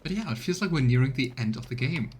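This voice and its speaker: A man casually commenting on a video game as he plays, in a relaxed, chatty and musing way. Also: medium pitch, fast, slight background noise. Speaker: streamer. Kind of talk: casual let's play commentary.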